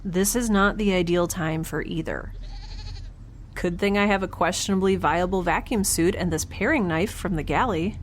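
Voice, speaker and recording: Woman acting a wry, lowish-voiced young space heroine. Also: normal speed, a little hiss or hum, natural voice. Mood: sardonic, anxious. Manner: casual, conversational, sardonic. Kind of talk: audio drama, first-person recorded diary.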